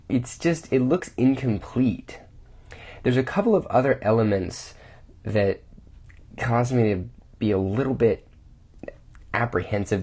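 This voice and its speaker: A man's voice: mid-range voice, darkish tone, breathy edge. A man reviewing watches casually, thinking aloud with restarts, his voice a bit off from a cold.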